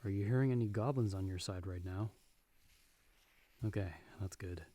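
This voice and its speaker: A man acting in an ASMR audio roleplay as a seasoned, magic-wielding monster hunter, talking the listener through danger in a measured, dry, reassuring way. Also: on the low side, dark tone, close to an everyday voice. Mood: calm, explanatory. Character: calm, seasoned male monster hunter with magic, guiding a partner.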